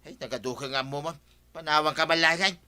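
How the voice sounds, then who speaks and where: An actor with an Ilocano accent playing a gruff, selfish village husband in an audio drama. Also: male voice, fairly high pitch, brisk, pushed and bright. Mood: gruff, dismissive.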